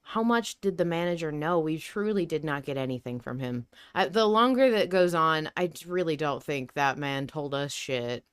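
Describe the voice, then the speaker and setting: A woman with a smooth, slightly low voice hosts a podcast in a casual, conversational style. Her sound is clear and dry, with very little room around it. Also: expressive, normal speed, hardly any pauses, no room sound. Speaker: podcast host. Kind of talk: casual podcast discussion of a story.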